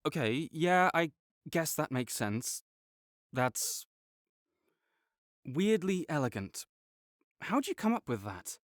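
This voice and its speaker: A man's voice: a little high, no echo. Young male academic rival, played by a man, tsundere, teasing, defensive, dry close sound. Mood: bossy. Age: young adult. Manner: teasing and bossy, gets flustered and defensive. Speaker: voice actor in an audio roleplay. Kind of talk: audio roleplay acting.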